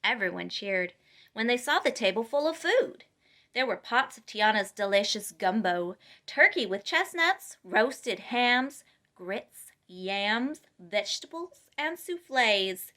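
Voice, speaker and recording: A woman reads a children's storybook aloud. Her voice is bright and forward, sitting a little high, and she delivers the narration with clear emphasis and expression. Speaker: storytime reader.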